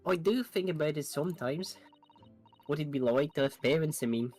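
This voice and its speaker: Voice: medium pitch, normal speed, a little mellow, soft background music, natural voice. A streamer voicing a blunt, distrustful young orphan girl in colloquial speech for a video game fan-dub. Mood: wistful. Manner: colloquial, skeptical, dropped grammar.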